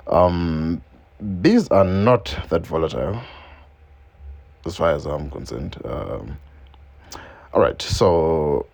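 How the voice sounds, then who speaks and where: A man's voice: sits low, vocal fry, a little mellow, breathy edge. A man from Zimbabwe, English not his first language, talking loosely through a screen-share trading lesson with many ums and repeated phrases. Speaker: forex trading educator. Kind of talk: screen-share market analysis.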